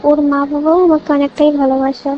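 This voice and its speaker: A woman's voice: high voice, little pitch movement, fast. A woman chatting casually on a phone call, mixing in English words. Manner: mixes English words into Bengali. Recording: muffled noisy phone call recording.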